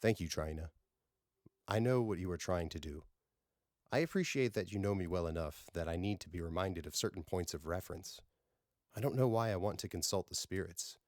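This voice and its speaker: A confident leader of a space crew, voiced by a male narrator in a science fiction audiobook. He sounds earnest and formal, in a low voice with a dry recording. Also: a little fast, darkish tone, dry recording. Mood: reflective.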